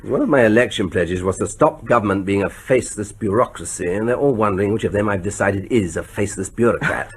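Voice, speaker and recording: An actor playing a wry, combative new British prime minister in a radio drama, with a husky voice and brisk, conversational authority. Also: male voice, on the low side, a little hiss or hum, lightly acted.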